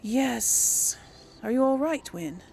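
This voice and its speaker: A woman doing casual let's play commentary, musing aloud in a halting way. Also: a little high, lively intonation, gravelly, dark tone, a little hiss or hum. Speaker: gaming channel host.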